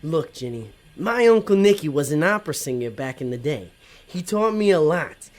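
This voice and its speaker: A woman's voice: low voice, very animated, normal speed, darkish tone. A woman playing a dim, cheerful male demon sidekick in an audio comedy, with an easygoing, goofy manner. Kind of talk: audio comedy drama acting.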